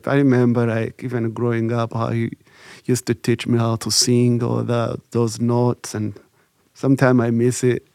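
Man, interview guest; gravelly voice, dry close sound, hesitant and thoughtful delivery. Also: medium pitch, completely flat delivery, darkish tone, dry recording. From Rwanda. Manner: halting, reflective, many "you know" fillers. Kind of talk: recalled life story, casual.

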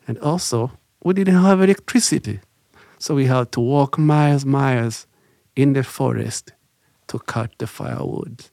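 A man, telling his life story in a reflective, halting way with many fillers. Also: medium pitch, vocal fry, darkish tone, no echo, studio quality. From Rwanda. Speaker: interview guest. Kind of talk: recalled life story, casual.

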